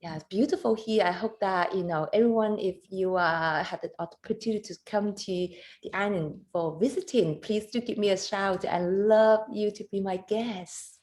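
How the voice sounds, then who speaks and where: A woman in her thirties from Hong Kong, a photographer and competition judge, talking on a video call in halting English, animated and laughing often. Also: medium pitch. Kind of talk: casual video call interview.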